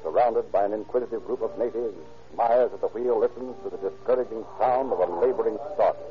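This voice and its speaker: A man's voice: fairly low pitch, fairly quick. A man giving formal, dramatic scripted narration for an old-time radio crime show. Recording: vintage radio broadcast, dull, music behind.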